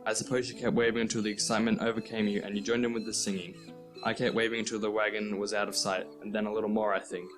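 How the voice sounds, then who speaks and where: A man's voice: on the low side, flat delivery, fast, music in the background, close to an everyday voice. A man playing an earnest, dutiful Australian schoolboy in a nostalgic radio play. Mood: wistful. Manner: earnest, exasperated.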